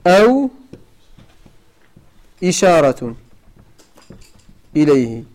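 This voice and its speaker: A middle-aged Moroccan man with a high voice and a very animated, swooping pitch teaches a grammar lesson. He speaks with a Moroccan accent, checks whether his students follow, and repeats each point several times to drive it home. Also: very slow. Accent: Moroccan Arabic. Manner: questions the students often, repeats points for emphasis. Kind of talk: classroom lesson.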